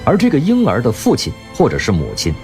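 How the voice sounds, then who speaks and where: A man narrating an audio drama in a scripted, measured literary storytelling style. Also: medium pitch, wildly swooping pitch, darkish tone, soft background music.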